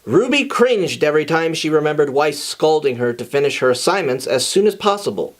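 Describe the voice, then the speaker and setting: A man with a voice a little higher than average reads a story aloud as host. His delivery is informal and conversational, like someone sharing fan fiction with viewers. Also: lively intonation, brisk. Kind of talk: informal scripted story narration.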